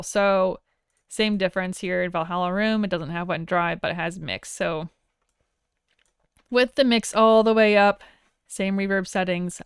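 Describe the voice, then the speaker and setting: A woman instructor narrating a software tutorial in a casual, informal way with wry asides, recorded as a voice-over. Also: medium pitch, smooth, dry recording, studio quality. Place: close, dry voice-over for a screen recording.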